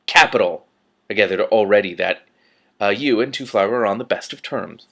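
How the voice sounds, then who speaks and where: A man reads as a coolly threatening lord from a fantasy storybook. He is formal and measured, wrapping menace in polite phrases. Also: on the low side, vocal fry, pushed and bright, full character voice. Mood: silkily threatening. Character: smooth, menacing male ruler issuing veiled threats.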